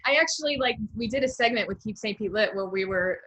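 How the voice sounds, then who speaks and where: A woman's voice: mid-range voice, fast, sharp tone, poor audio. An American woman hosting a casual interview in a chatty, informal way. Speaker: interview host.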